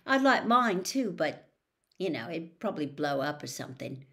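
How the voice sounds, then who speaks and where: A woman's voice: low voice, very animated, normal speed, a bit bright, heavily acted. A woman voicing a hesitant, grumbling schoolboy wizard in a storybook reading. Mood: self-deprecating. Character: boy wizard's best friend, hesitant, resigned, grumbling.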